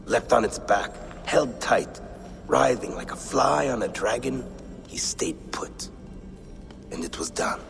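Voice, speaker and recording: A man's voice: medium pitch, animated, gravelly, in a whisper. An actor playing a proud, grieving Viking warrior, solemn and loyal to a fallen king, in a video game. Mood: solemn reminiscence. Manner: solemn, storytelling, weighty pauses. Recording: long cavern-like reverb, faint music behind.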